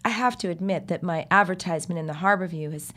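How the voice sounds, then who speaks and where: A woman's voice: on the low side, fast, fairly bright tone, lightly acted. An actress playing a genteel, composed society lady, formal and precise, in a period audio drama. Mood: poised, businesslike.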